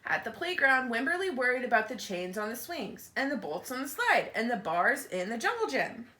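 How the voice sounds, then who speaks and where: A woman's voice: a little high, moderate pace. A woman teacher reading a picture book aloud to children, expressively, with casual explanatory asides. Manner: expressive, explains to young listeners. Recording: roomy, echoey home recording.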